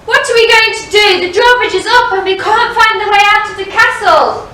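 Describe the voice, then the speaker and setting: A woman's voice: very high voice, a little fast, pushed and bright. A woman playing an anxious but plucky young maiden in a theatrical, urgent pantomime style. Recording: very echoey room, distant mic, hiss.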